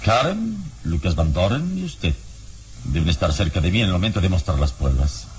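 Deep, commanding radio hero. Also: male voice, very animated, darkish tone. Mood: confident, commanding. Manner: measured, deliberate, formal and commanding. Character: calm, deep-voiced heroic detective adventurer. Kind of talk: old Mexican radio serial acting. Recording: noisy old radio serial recording.

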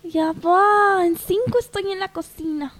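A woman's voice: very high voice, fairly even intonation, a little slow, a bit bright. A woman playing a sweet, hesitant young working daughter in a radio soap opera. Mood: sleepy.

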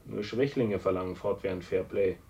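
An actor playing a proud, ruthless industrialist with a commanding, defiant manner in a radio drama. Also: male voice, sits low, moderate pace, darkish tone, dull audio. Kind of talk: 1970s German radio drama acting.

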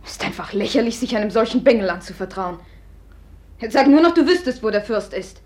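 A woman's voice: a little high, expressive, fairly quick, lightly acted. An actress in a 1950s radio play voicing a proud young noblewoman who scolds sharply. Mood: scornful. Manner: reads a letter in a hushed whisper, then scolds loudly. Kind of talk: 1950s radio drama acting. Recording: old radio play, husky tone.

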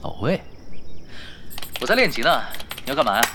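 A man's voice: medium pitch, very animated, sharp tone, a little hiss or hum. A man playing a laid-back, shrewd young pro gamer in an audio drama, talking casually and wryly. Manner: casual, unhurried, wry.

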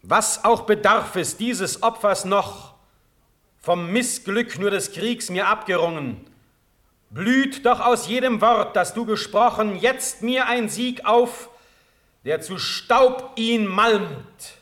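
A stern, magnanimous sovereign in a classical radio drama. He declaims verse very deliberately, with solemn authority, in a fairly high male register. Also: fairly bright tone. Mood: solemn resolve. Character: stern, magnanimous ruling prince, measured and commanding.

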